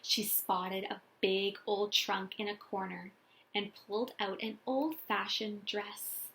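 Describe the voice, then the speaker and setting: A woman teacher reading a children's storybook aloud with expressive, deliberate, dramatic phrasing. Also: medium pitch, vocal fry, a little hiss or hum, rough recording.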